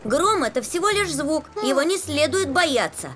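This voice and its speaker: A woman's voice: high voice, animated, normal speed, fairly bright tone. A woman voicing a brave young boy who leads his friends in a children's cartoon. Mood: confident, earnest. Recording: background music under the voice.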